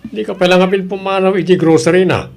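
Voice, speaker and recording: Guy doing a lazy mooching boyfriend, kinda high voice, whines and grumbles, radio drama, slight noise. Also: fairly even intonation, fast, gravelly, darkish tone. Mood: demanding. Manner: grumbling, sluggish. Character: lazy, whining, freeloading boyfriend. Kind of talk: Filipino audio drama acting.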